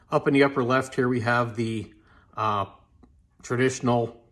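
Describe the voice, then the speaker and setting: An American man talks through a product in an informal, unscripted review style. He explains each feature in a measured way, with a medium-pitched voice, like a hobbyist showing off a find. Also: a bit bright. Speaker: vintage radio collector presenting a video review. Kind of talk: casual unscripted product demonstration.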